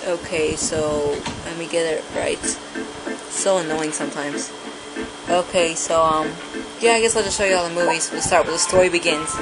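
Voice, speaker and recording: A casual, hesitant speaker introducing videos in an apologetic way. The voice sits at a middling pitch and competes with very loud music. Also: fairly even intonation, normal speed. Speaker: video uploader. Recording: muffled distant mic, loud music.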